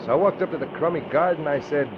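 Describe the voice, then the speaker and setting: An actor playing a cocky, sneering escaped convict in old radio crime drama style, with tough slangy talk and chuckles. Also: male voice, on the high side, quick pace, close to an everyday voice. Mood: gloating. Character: cocky hard-boiled escaped convict gangster, sneering and vengeful. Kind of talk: 1940s radio acting. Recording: muffled, hissy old radio recording.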